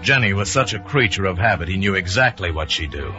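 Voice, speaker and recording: A man's voice: deep voice, expressive, fairly quick. A man narrating a suspense story in a dramatic, scripted radio style. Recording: muffled vintage radio recording with music. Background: music.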